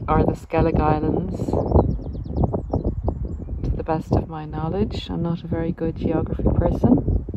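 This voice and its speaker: A woman's voice: low-pitched, dull audio. Encouraging woman, noisy outdoor recording. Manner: emphatic, encouraging, informal, addressing viewers directly. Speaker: coach and vlogger. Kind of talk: walk-and-talk motivational vlog. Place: outdoors on a hillside walking trail.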